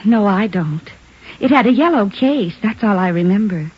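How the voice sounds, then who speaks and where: Woman acting obliging landlady, American accent, mid-pitched voice, chatty, helpful, muffled noisy old radio play. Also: expressive, moderate pace, natural voice. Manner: conversational, helpful. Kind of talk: 1950s radio drama acting.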